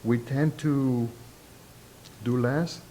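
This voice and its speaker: A middle-aged man and native Spanish speaker with a thick Puerto Rican accent, giving reflective, halting, deliberate interview answers as a university professor. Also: fairly even intonation, warm tone. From Puerto Rico. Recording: noisy, low rumble behind.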